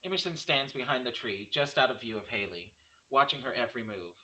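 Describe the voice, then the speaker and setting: A man's voice: mid-range voice, lively intonation, brisk, bright, forceful tone, poor audio. A man narrating stage directions in an amateur screenplay table read, sometimes stumbling and correcting himself.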